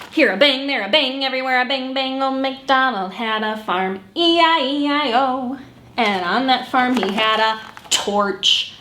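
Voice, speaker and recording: High bright-voiced woman leading children's story time. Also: echoey room, slight background noise. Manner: animated, acts out animal sounds and song refrains. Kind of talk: sung picture-book read-along for children.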